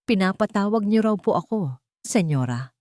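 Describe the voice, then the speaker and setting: A woman's voice: mid-range voice, lively intonation, normal speed, darkish tone, no room sound, studio quality, pitched above the performer's own voice, natural voice. A woman voicing a timid, respectful young servant woman in a story drama, polite and restrained. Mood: meek.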